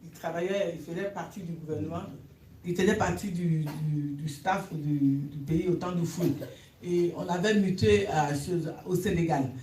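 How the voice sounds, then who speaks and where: A woman from West Africa asking a question in church, talking in a hesitant, halting way with many restarts. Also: lowish voice, darkish tone. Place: church hall. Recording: echoey hall from far off, faint hiss.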